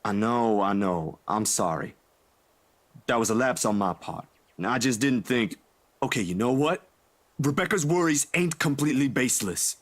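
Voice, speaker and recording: A man's voice: mid-range voice, natural voice. A man acting a laid-back, caring young man in a visual novel, speaking casually and a little hesitantly.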